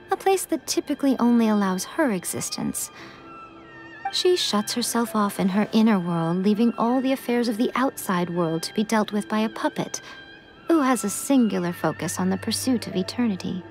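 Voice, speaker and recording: A woman plays a worldly shrine priestess in a video game. She is sly and teasing, with a knowing, self-assured manner. Her voice sits at a middle pitch, with faint music underneath. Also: expressive, natural voice. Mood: knowing, explanatory. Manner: teasing, knowing, unhurried condescension. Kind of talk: video game cutscene acting.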